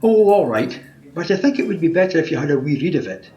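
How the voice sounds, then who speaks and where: An actor playing a fussy, officious wish-granter with a pedantic, chatty manner in a radio play. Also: male voice, on the high side, sing-song, fast talker, mellow, faint music behind. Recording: muffled home recording, background noise.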